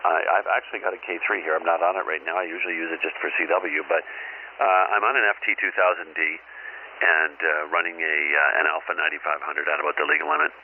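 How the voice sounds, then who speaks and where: A man's voice: very deep voice, steady tone, quick pace, phone-quality sound. A middle-aged or older man talking casually over a radio transmission, rambling and hesitant, often repeating his words. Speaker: amateur radio operator, caller. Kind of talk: casual ham radio conversation. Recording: band-limited noisy ham radio transmission.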